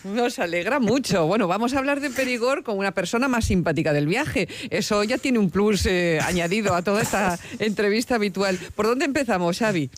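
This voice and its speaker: A woman's voice: medium pitch, expressive, a little fast, hardly any pauses. A woman co-hosting a podcast, chatting in a bubbly, upbeat way. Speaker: podcast co-host. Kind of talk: casual chat. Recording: faint background noise.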